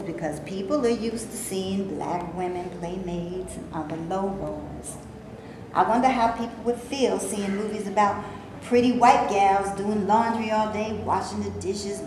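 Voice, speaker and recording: A woman's voice: medium pitch, natural voice. Woman acting an outspoken, indignant maid in an echoey room. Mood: bitter, angry. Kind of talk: staged reading of a stage play. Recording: echoey room, faint noise, live stage.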